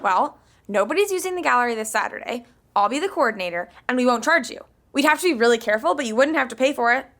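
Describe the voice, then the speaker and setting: An eager, self-sacrificing woman friend in a sitcom. Her voice is high and forceful, with pitch that leaps around. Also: animated, fast talker. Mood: reassuring, determined. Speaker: sitcom actor. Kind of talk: podcast sitcom acting.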